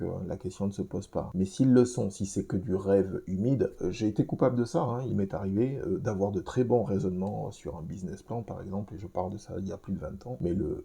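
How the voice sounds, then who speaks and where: Low gravelly man in his fifties. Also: dark tone. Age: 50s. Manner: rambling, hesitant with many restarts and fillers; addresses listeners directly. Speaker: podcast host. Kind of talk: film review podcast.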